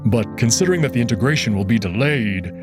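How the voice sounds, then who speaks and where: A man voicing an earnest, formal male furry alien who speaks in a measured, dutiful way. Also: medium pitch, lively intonation, music in the background, lightly acted. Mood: careful.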